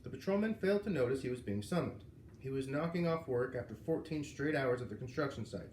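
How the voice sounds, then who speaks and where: A man's voice: medium pitch, lively intonation, quick pace, darkish tone. A man narrating a children's novel aloud. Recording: roomy, echoing, noisy home recording.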